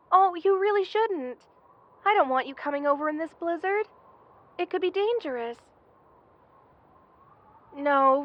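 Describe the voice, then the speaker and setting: A woman voicing a young lamia best friend in an intimate ASMR roleplay, affectionate and hesitant, with a soft-hearted manner. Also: high voice, lo-fi sound. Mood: sheepish, caring.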